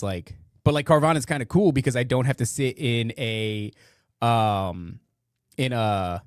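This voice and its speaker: Rough-voiced man rambling casually. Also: mid-range voice, no room sound, studio quality. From northeastern United States. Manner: rambling, slangy, profane. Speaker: podcast host. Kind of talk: casual live chat, reading listener comments.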